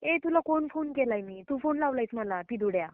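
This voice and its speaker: A woman's voice: high voice, lively intonation, fairly quick, no room sound. A woman with a Maharashtrian Marathi accent, scolding emphatically and indignantly in a heated phone argument. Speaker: prank call recipient. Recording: narrow tinny phone-call recording.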